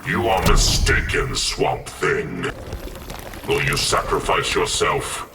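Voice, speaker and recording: Echoing, very deep imperious sorcerer. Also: male voice, heavy background noise, heavily acted. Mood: grave, imperious. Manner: slow, portentous. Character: grave, imperious sorcerer serving cosmic order. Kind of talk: video game character acting. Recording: echoing voice over loud game ambience.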